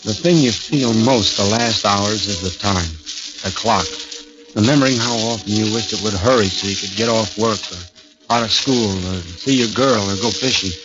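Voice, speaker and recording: A man plays a working-class American prisoner facing death, telling his story in a low, brooding voice. The delivery is plain and confiding, in old radio style. Loud music sits behind the dull 1940s recording. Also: moderate pace, natural voice. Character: haunted working-class condemned man recounting his ordeal. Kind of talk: 1940s radio acting, first-person narration.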